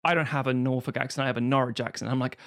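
A man's voice: medium pitch, racing. A man co-hosting a podcast, ranting in casual chat and swearing freely. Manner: swears freely, animated rants. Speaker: podcast co-host.

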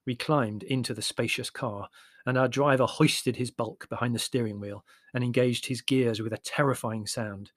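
A man's voice: medium pitch, steady tone, normal speed, no room sound, clean studio sound. A man reading a literary memoir aloud as an audiobook narrator, in a descriptive storytelling style.

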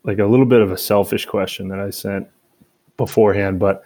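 A man acts as interviewer with a fairly low voice. His tone is dark and relaxed. He puts his questions casually, in an everyday conversational way. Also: fairly quick.

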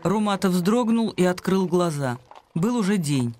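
A man's voice: high voice, animated, unhurried, darkish tone. A man delivering scripted narration as the storyteller of a radio play. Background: music.

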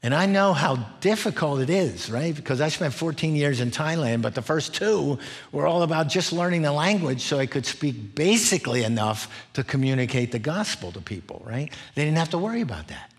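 A man's voice: a little high, lively intonation, fairly quick. A middle-aged man preaching in an explanatory way, laying out points and often checking in with listeners. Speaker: preacher, former missionary. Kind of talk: expository sermon, teaching in numbered points.

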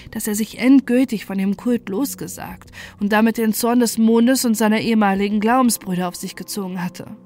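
A woman's voice: mid-range voice, dark tone. A woman reading a scripted first-person horror story as narrator, in a sober and controlled manner.

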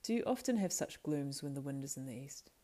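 A woman voicing a young male hobbit, frightened, grumbling and excitable, in a plaintive, exclaiming way for a storybook reading. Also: rumbling low, a little fast. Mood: grumbling, uneasy.

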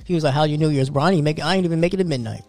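A man's voice: a little high, very fast, a little mellow. A man in his thirties talking casually and profanely on a podcast, telling stories about work and his goals. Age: 30s. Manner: loose, profane, storytelling. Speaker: podcast host.

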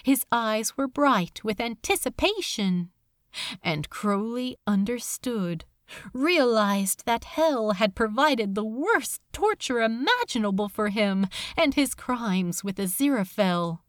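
Woman audiobook reader, very animated intonation, slow pacing, dramatic, expressive, dry close sound. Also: a little high, no room sound. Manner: expressive, unhurried, theatrical. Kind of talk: dramatic scripted fiction narration.

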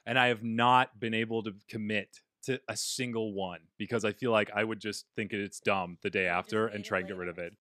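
A man's voice: on the low side, fairly even intonation, brisk, few pauses, pushed and bright, no echo, studio quality. A man hosting a comedy advice podcast, joking around playfully with a mock-formal streak. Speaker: host. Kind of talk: casual comedy podcast banter.

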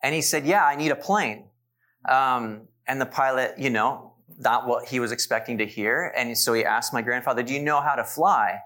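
Bright-voiced man in his forties preaching. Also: medium pitch, normal speed. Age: 40s. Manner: warm storytelling with asides to the congregation. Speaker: pastor. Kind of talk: sermon.

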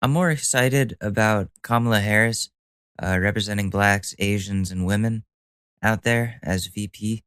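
A man's voice: on the low side, unhurried, a bit bright, smooth, no room sound, studio quality. A young man hosting a solo podcast, talking casually with a stuffy nose, rambling and joking at his own expense with the odd swear word. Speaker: podcast host.

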